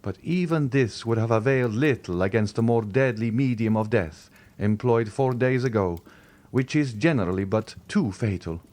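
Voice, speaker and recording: A man's voice: mid-range voice, darkish tone, close to an everyday voice. A man playing a solemn, protective count. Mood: grave. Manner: solemn, measured warnings. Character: grave, protective middle-aged nobleman.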